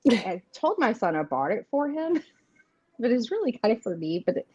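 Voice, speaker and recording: A woman's voice: a little high, very animated, normal speed, mellow, slightly rough. A woman, chatting casually as co-host of a live tarot stream, laughing often and saying "y'all". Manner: chatty, giggly, Southern "y'all". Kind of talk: casual livestream chat. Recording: dull lo-fi stream audio.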